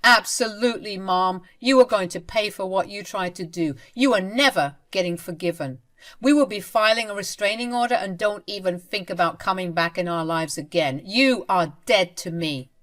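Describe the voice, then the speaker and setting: A woman voicing a furious husband confronting his mother, speaking sternly and forcefully. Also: medium pitch, moderate pace, close to an everyday voice. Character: furious protective adult son and father.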